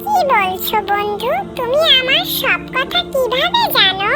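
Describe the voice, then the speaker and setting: A performer voicing a kind, pious young boy in a children's cartoon, speaking gently, politely and earnestly. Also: extremely high pitch, big pitch swings, a little slow, full character voice. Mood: friendly, eager. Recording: children's music behind the voice.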